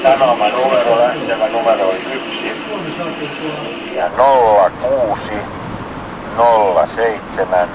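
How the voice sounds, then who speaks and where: A man's voice: on the low side, background noise. A man working as a radio operator in an exercise, formally dictating messages in short, repeated procedural phrases.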